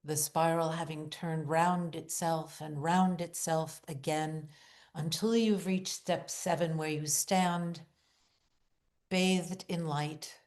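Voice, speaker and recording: Slow, low-voiced woman guiding meditation. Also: steady tone, darkish tone. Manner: slow, deliberate, short phrases with long pauses. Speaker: guided meditation leader. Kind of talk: guided visualization, meditative narration.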